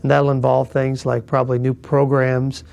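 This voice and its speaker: A man's voice: mid-range voice, completely flat delivery, normal speed, gravelly, darkish tone. A man giving interview remarks as a university administrator.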